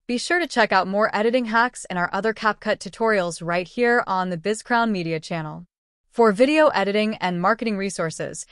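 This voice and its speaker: A woman's voice: medium pitch, no echo, studio quality. A woman delivering a scripted promotional voice-over as a channel announcer.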